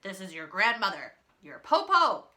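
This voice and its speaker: Sly old storybook wolf playing sweet granny, done by a woman reading aloud, coaxing, bright voice. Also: medium pitch, sing-song, normal speed. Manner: coaxing, feigning affection. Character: cunning old wolf posing as a sweet grandmother.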